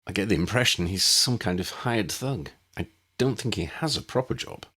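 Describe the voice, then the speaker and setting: A man's voice: fairly low pitch, lively intonation, normal speed, sharp tone, breathy edge, full character voice. A man voicing a guarded, matter-of-fact male wizard teacher with a clipped, serious manner in a storybook.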